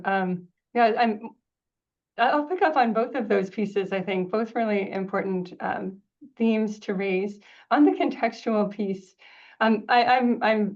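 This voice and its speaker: A woman author answering audience questions in an academic webinar, explaining in a measured, thoughtful way over a video call. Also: medium pitch, darkish tone, lo-fi sound. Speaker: author presenting her book.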